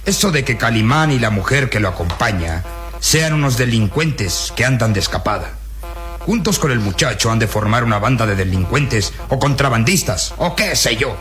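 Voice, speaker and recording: A burly, short-tempered commander played by a man in a radio drama. He is gruff and imperious, snapping out orders, with prominent music behind him. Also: medium pitch, animated, normal speed, a bit bright, lightly acted. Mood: suspicious, impatient. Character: burly, irritable, bossy police or military commander. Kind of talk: Latin American radio drama acting.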